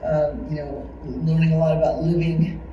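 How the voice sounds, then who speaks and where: A man's voice: fairly high pitch, level tone, normal speed, highs cut off, slight background noise. A man interviewing conversationally, affirming what he hears in a tentative way. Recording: echoey room, far from mic.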